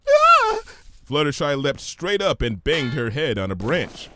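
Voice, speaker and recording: A man narrating a scripted story in an expressive, theatrical manner. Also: medium pitch, moderate pace, a little hiss or hum.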